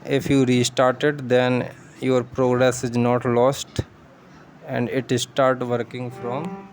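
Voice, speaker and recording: Slow, flat-voiced man explaining code. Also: medium pitch, a little hiss or hum. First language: not English. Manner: patient step-by-step explaining, thinks aloud. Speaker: programming tutor. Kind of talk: screen-share coding tutorial.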